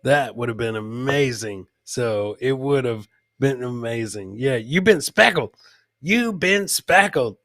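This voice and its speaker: A man's voice: mid-range voice, lively intonation, a little slow, a bit bright, dry recording. A man hosting a casual comedy clip show, chatting to his co-host and viewers and often repeating phrases for comic effect. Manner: chatty, addresses co-host and live viewers by name.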